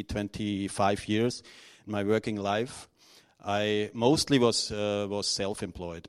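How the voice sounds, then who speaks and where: A middle-aged man from Austria, speaking unscripted to an audience, halting and nervous, with many restarts and fillers. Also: lowish voice, clear, resonant tone. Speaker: conference speaker.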